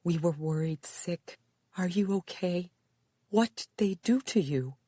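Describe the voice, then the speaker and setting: A woman's voice: lowish voice, lively intonation, unhurried, dark tone, dry recording, pitched above the performer's own voice. A woman narrating an audiobook voices an anxious older mother, fussing and insistent, with urgent worried questions. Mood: relieved, worried.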